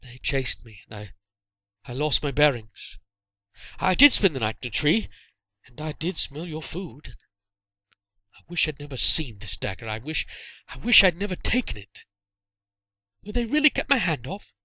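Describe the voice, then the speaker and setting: A man voicing a frightened, guilt-ridden medieval boy in a storybook reading, stammering and pleading in a halting way. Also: fairly high pitch, very animated, bright, forceful tone, no room sound, voice pushed up, exaggerated voice, low-quality recording. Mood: remorseful.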